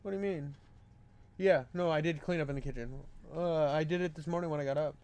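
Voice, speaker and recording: A man's voice: on the high side, fast talker, a little mellow. A man chatting off the cuff to viewers in slangy, sweary, laid-back bro talk. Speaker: commentator. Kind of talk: unscripted casual chat to viewers.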